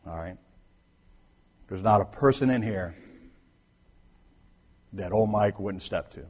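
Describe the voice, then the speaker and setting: A husky, fairly low-voiced man preaches to his church. His sermon is conversational, dropping to near-quiet asides and rising to emphatic points. A long reverb hangs in the sanctuary behind him. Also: slow. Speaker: pastor.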